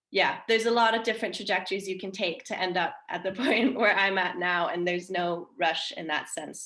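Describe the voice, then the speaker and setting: A woman's voice: on the low side, a bit bright. A Danish woman in her twenties with an American accent, a marine researcher talking casually as a guest, with many restarts and fillers. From Denmark. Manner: rambling, frequent restarts and fillers. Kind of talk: casual livestream talk.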